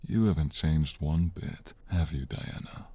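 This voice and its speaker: Guy doing a devoted young dad-to-be in an audio roleplay, dark raspy voice, flustered and halting. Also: extremely low pitch, no room sound, full character voice. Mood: embarrassed. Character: devoted young husband, flustered expectant father.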